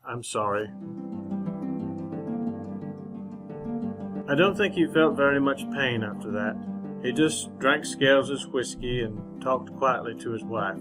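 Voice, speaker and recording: A man playing a composed, honorable gentleman newspaperman in a re-created old-time radio western, speaking formally and with measured politeness. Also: mid-range voice, music in the background, close to an everyday voice. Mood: quiet, composed. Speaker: actor narrating as lead character.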